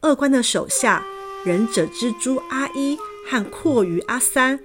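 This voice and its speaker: A woman narrating a children's fairy tale in a lively, expressive way. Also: high voice, unhurried. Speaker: storyteller. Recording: background music.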